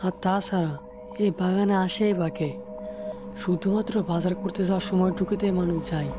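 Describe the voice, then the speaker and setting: A man's voice: high-pitched, steady tone, moderate pace, close to an everyday voice. A man voicing a poor, timid village husband in a cartoon, resigned and anxious. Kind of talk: Bengali cartoon voice acting. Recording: dull lo-fi audio under clear music.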